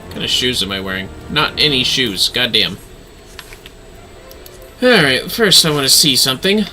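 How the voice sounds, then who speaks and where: A man with a very bright, forceful voice does casual gameplay commentary over music. His pitch jumps around in a lively way as he mutters and exclaims to himself. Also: moderate pace. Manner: animated, talks to himself. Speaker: livestreamer.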